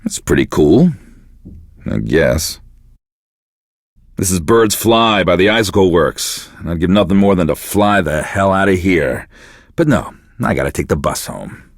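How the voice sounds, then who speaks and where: An actor playing a depressed, divorced middle-aged radio DJ in a game, deadpan, sardonic and self-deprecating as he hosts. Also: sits low, unhurried, no room sound, clean studio sound, heavily acted. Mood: self-pitying, dry. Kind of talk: radio show hosting, comic self-pitying banter.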